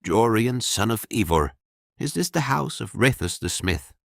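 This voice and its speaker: Brief: man playing a wry, courtly ex-king, low gravelly voice, storybook. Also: sing-song, measured pace, no room sound, studio quality, exaggerated voice. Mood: hearty. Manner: courtly, wry, old-fashioned speech. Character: wandering former king, hungry young-ish man, courteous and wry.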